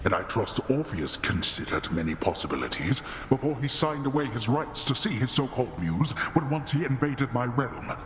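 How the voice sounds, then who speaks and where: An actor playing a stern, weary god of the dead who talks in a cold, clipped, imperious way. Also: male voice, mid-range voice, sing-song, normal speed, hardly any pauses, fairly bright tone, reverberant, big-room sound, a little hiss or hum. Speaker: lord of the underworld.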